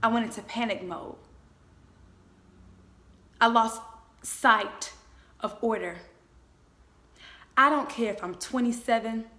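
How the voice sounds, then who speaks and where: A woman in her twenties giving a motivational faith talk, speaking in an emphatic, exhorting way with deliberate repetition. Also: fairly high pitch, pushed and bright. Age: 20s.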